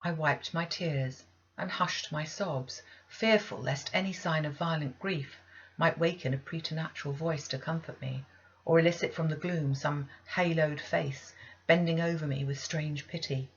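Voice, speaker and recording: Low, husky woman reading a classic. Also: steady tone, normal speed. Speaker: audiobook reader. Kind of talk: literary first-person storybook narration. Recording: dull, lo-fi recording.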